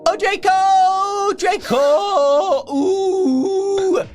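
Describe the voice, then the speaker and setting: A performer voicing a furious, melodramatic teenage goth girl in a shrill, overwrought comic dramatic reading. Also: very slow, a little mellow, faint music behind, full character voice. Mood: theatrical ecstasy.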